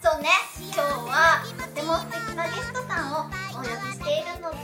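A woman hosting a radio talk show in a bubbly, exuberant and playful way, high in energy. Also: fairly quick. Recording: roomy sound with loud background music. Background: music.

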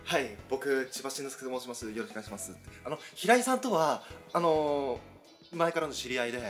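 A man's voice: medium pitch, lively intonation, a little fast, a bit bright. A man, a voice actor, bantering casually on a panel with a teasing but polite manner. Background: background music.

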